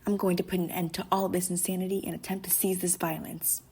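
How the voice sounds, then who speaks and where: A woman playing a resigned male president in an amateur puppet show, with a husky voice. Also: fairly low pitch, flat delivery, fast, mellow. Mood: resigned, decisive. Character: resigned male president announcing a firm decision.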